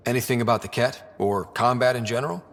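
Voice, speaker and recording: An actor playing a young explorer and team leader in a video game, husky, easygoing and curious. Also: male voice, mid-range voice, fast, big-room sound, lightly acted. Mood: casual, curious. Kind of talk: video game character acting.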